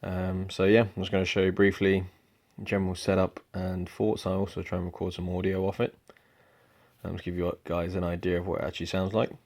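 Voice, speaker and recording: Male vlogger, young, low flat delivery, easygoing, um-heavy. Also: brisk. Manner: relaxed, rambling, many ums. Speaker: motorcycle vlogger. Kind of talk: casual product review. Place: indoors at home.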